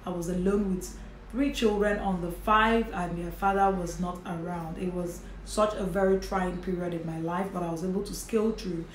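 A woman's voice: lowish voice, steady tone, moderate pace. A Nigerian woman in her thirties, talking to camera about motherhood in a chatty, earnest way with light laughs. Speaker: vlogger, mother. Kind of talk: casual talk to camera. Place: indoor home office with bookshelf and curtains. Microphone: camera in front at desk distance. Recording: echoey room, faint hiss.

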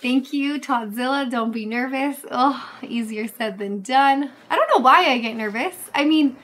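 A woman in her thirties, slightly hoarse, chatting casually with viewers on a livestream from her bed, with lots of fillers. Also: high-pitched, measured pace, fairly bright tone, slight background noise. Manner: chatty, reads chat aloud, many fillers. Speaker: livestream host. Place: bedroom, sitting in bed. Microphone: webcam framing.

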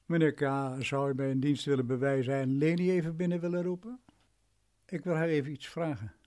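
An actor playing a self-important, domineering lawyer in a radio play, patronising and imperious. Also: male voice, on the high side, fairly even intonation, a little fast, darkish tone, close to an everyday voice.

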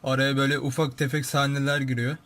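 A man's voice: mid-range voice, little pitch movement, fast talker, fairly bright tone. A young man giving casual, informal, exclamatory commentary as a YouTube host, describing what he sees. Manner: informal, exclamatory, narrates what appears on screen.

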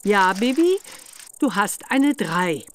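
A woman's voice: mid-range voice, big pitch swings, slow pace, faint music behind. A woman voicing a caring but firm witch mother acting as examiner in a children's computer game, instructive and motherly.

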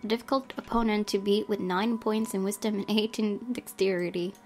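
A woman hosting a gaming video, reading game text aloud and reacting in an expressive, fretful way. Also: mid-range voice, a little fast, slight background noise. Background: background game music.

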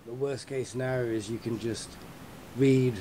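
A man with a British accent, worn out and losing his voice, chatting haltingly on a video call and often clearing his throat. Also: medium pitch, steady tone, warm tone, a little hiss or hum. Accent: British English. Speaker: keynote speaker. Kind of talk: casual pre-talk chat on a video call.

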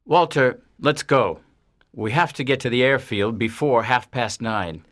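A woman's voice: very deep voice, a little slow, voice pushed down. A woman voicing a secretive alien man in disguise, reading character dialogue from a story. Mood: cool, decisive. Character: disguised male alien, secretive, startled, from distant planet.

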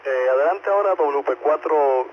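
A man's voice: a little high, moderate pace. A courteous man with a Puerto Rican accent running a check-in net as controller over two-way radio. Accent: Puerto Rican Spanish. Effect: two-way radio transmission. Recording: muffled ham radio transmission with clear static.

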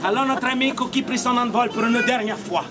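A man's voice: extremely high pitch, brisk. A man in his forties with a Québécois accent, telling comic stories theatrically to a street audience. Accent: Québécois French. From Quebec, Canada. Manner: theatrical, projecting to a crowd. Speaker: street puppeteer and comedian. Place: outdoors in a town street. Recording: noisy open street, loud crowd.

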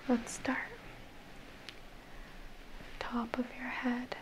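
Whispering young woman, slow and even. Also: fairly high pitch, a little hiss or hum, poor audio. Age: young adult. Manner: soft, breathy whisper, slow and soothing. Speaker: ASMR reiki practitioner. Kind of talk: whispered ASMR guided relaxation. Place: dim indoor room.

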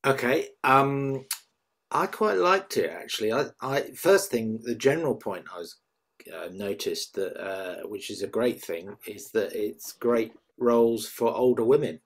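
A man on a panel giving casual feedback over a video call, in a hesitant, halting way. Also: medium pitch. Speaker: panel member. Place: room at home on a video call. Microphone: video call computer microphone.

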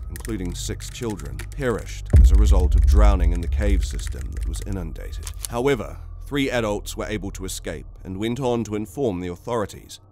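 A man's voice: fairly low pitch, noisy background. A man reading a scripted documentary narration in a formal, wordy manner.

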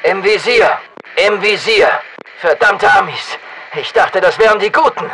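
An actor playing a battle-hardened special forces soldier in a shooter game, giving clipped, commanding callouts over military radio. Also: male voice, high voice, measured pace, pushed and bright, slight background noise, heavily acted, poor audio. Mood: focused.

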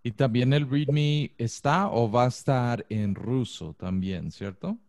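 A young man hosting a video interview, asking brief, unhurried questions into a studio microphone. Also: medium pitch, gravelly, darkish tone, dry recording. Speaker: host. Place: room with bookshelves. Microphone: studio microphone on arm.

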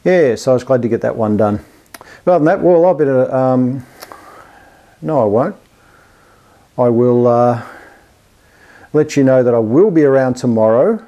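A middle-aged Australian man speaks with a dark, relaxed tone at an ordinary male pitch. He talks casually and without a script, like a hobbyist giving an update, often hesitating and rambling in a modest, self-deprecating manner. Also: moderate pace, pauses often, muffled recording. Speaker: amateur radio and television hobbyist presenting an update. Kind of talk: casual, unscripted video update to camera.

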